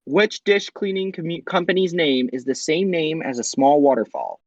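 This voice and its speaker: A man hosting a quiz game show, reading questions and ruling on answers in a brisk, formal way. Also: on the high side, big pitch swings, dry recording, muffled recording. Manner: brisk, formal quizmaster delivery.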